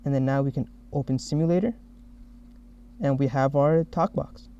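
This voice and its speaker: Man, mellow mid-pitched voice, developer walking through a software demo, slightly muffled lo-fi recording. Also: normal speed, a little hiss or hum. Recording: dull, muffled lo-fi audio.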